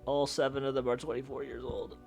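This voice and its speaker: A man livestreaming casually, thinking aloud in a halting way that moves between muttering to himself and explaining. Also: mid-range voice, little pitch movement, vocal fry, a little mellow, faint music behind.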